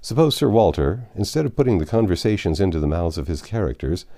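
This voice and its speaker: A man's voice: deep voice, quick pace. A man reading a classic novel aloud as a narrator, telling it expressively with the odd slip. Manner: expressive storytelling with occasional slips. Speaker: audiobook narrator.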